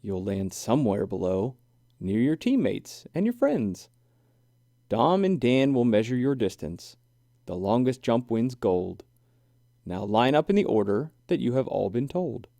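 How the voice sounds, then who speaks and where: Male animal judge for a children's tale, voiced by a man, official, measured rule-giver with animated intonation. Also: medium pitch, vocal fry, no echo, clean studio sound. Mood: official, instructive. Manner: instructive, emphatic, official. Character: male animal judge explaining storybook game rules.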